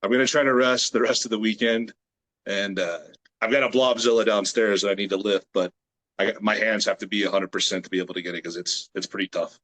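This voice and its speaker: Guest, male, late 30s, from the US, lowish voice, relaxed and meandering, lots of fillers. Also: quick pace, rough recording. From United States. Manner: relaxed, rambling with many fillers. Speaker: guest, grip strength athlete. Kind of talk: casual interview chat. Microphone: video call.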